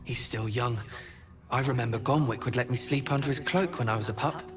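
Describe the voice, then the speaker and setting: A man voicing a gentle, loyal talking dog in a video game, soothing and earnest in simple words. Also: mid-range voice, brisk, close to an everyday voice. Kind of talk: video game dramatic acting. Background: music under the voice.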